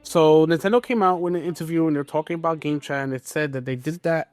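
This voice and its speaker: A man's voice: fairly high pitch, fairly even intonation, quick pace, no room sound. A man hosting a podcast, casually discussing the news with exasperated, opinionated commentary. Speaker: podcast host.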